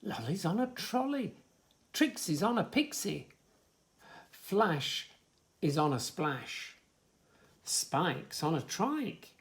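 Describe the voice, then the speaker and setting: A man's voice: high-pitched, very animated, slow, pauses often, heavily acted. Man playing a bossy, swooping storybook frog. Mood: proud. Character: critical, bossy yet resourceful male frog.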